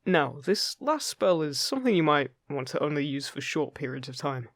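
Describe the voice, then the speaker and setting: A man playing a friendly, upbeat fairy guide in an intimate fantasy roleplay, chatty, gentle and encouraging with the listener. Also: on the high side, very animated, normal speed, dry recording, clean studio sound. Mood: playful, instructive. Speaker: voice actor in an audio roleplay.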